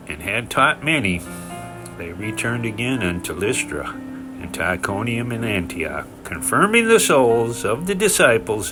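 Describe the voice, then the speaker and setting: A man reading scripture aloud in a solemn narrated style, with deliberate, emphatic phrasing and pauses. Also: medium pitch, rough recording. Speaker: scripture reader. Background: background music.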